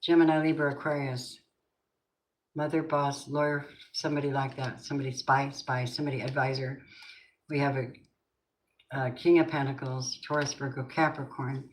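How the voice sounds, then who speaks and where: An older woman doing a live tarot reading, chatty and rambling, warmly calling her viewers babies. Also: a bass voice, little pitch movement, normal speed. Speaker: tarot reader. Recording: noisy home stream with clicks.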